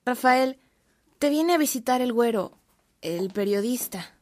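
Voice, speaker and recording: An actress playing a priest's polite, welcoming sister in a radio drama, with a courteous manner. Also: female voice, on the high side, lively intonation, a little slow.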